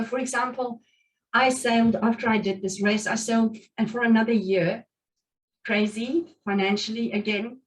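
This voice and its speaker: A middle-aged woman whose first language is Afrikaans, giving an earnest, reflective talk at a meetup with many fillers and restarts while she has covid. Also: medium pitch. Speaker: guest speaker. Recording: speaker distant from the mic.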